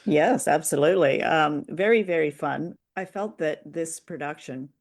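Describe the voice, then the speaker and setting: A woman's voice: deep voice, moderate pace, a little mellow. A woman co-hosting an unscripted discussion, giving conversational commentary in a hesitant, explanatory way. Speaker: co-host discussing old radio dramas.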